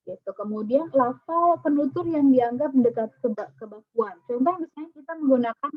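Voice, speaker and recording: Chatty woman lecturer with a light Sundanese accent. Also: a little high. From Sundanese region of West Java. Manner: chatty, many fillers and restarts. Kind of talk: online class lecture. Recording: dull, dry lo-fi call audio.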